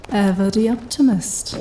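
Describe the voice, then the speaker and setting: A woman's voice: mid-range voice, mellow, a little hiss or hum, lightly acted, rough recording. A woman playing a witty, self-doubting human intermediary in an audio drama, with a conversational, hesitant manner. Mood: wry.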